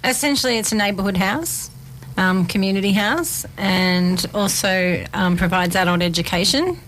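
An Australian woman, a community development officer, answering radio interview questions in a measured, matter-of-fact way. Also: medium pitch, a bit bright, a little hiss or hum, low-quality recording.

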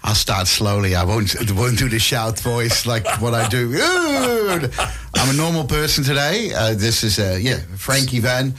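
A man's voice: medium pitch, animated, unhurried, a bit bright. A middle-aged man with a Dutch accent, a community radio presenter being interviewed about his life, talking casually with self-deprecating humour and many hesitations. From Netherlands.

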